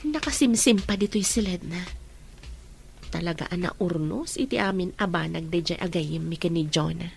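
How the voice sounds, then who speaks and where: A woman's voice: fairly low pitch, moderate pace, gravelly, a little hiss or hum. A woman playing an earnest, secretly troubled young friend in an Ilocano audio drama. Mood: troubled, hushed.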